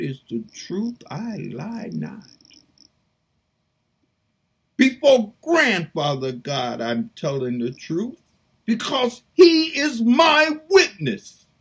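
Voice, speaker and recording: Slow husky woman praying fervently. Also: low voice, darkish tone. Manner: fervent, pleading, slow and drawn out. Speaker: preacher. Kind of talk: impassioned prayer and scripture reading.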